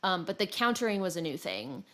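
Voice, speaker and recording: Female podcast co-host, slightly low pitch, informal and rambling, self-deprecating gamer chat. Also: sing-song, a little fast, fairly bright tone. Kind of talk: casual chat about video games.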